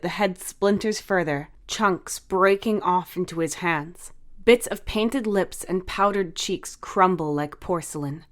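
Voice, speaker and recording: A woman narrating a scripted short story. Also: fairly low pitch.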